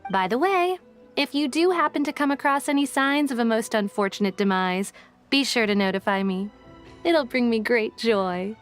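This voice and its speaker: A woman voices a haughty young detective in a video game. She is sarcastic, blunt and dismissive, carrying an open grudge, with soft music behind her. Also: fairly high pitch, moderate pace, natural voice. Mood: smug, sardonic. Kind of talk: video game character dialogue.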